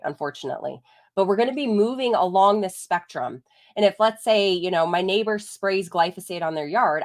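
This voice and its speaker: A woman veterinarian giving a chatty live talk while congested from a chest cold, explaining things with lots of "like" and "right?". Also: fairly low pitch. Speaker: holistic veterinarian. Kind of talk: live-streamed educational talk.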